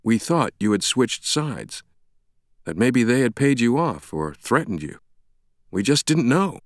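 A man's voice: medium pitch, very animated, unhurried, no echo, studio quality, voice pushed up. A man playing an agitated American doctor in an audiobook, incredulous and demanding, blurting out his words. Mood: stunned, relieved. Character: agitated American man, an itchy, indignant public health doctor.

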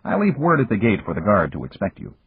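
A man voicing a suave, casual businessman in an audiobook, breezy and gallant with a gently teasing way of talking. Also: fairly low pitch, huge pitch swings, fast talker, slightly rough, full character voice. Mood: casual, pleased. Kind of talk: audiobook character voice. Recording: echoey room, muffled narrow-band sound.

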